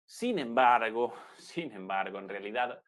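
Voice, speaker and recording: A man's voice: mid-range voice, lively intonation, moderate pace, a bit bright. A man hosting live political news commentary in an emphatic way. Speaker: political show host.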